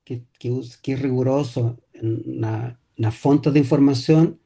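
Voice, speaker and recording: A man's voice: medium pitch, fairly even intonation, unhurried, vocal fry, warm tone, slightly rough. A man from Chile with a Chilean accent, a native Spanish speaker, giving an online academic lecture over a video call with many hesitations and restarts. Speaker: guest lecturer.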